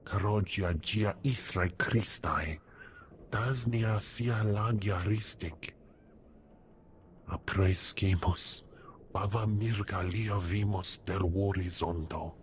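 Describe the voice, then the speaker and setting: An actor voicing a solemn alien in a video game, speaking in a measured way. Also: male voice, fairly low pitch, slight background noise.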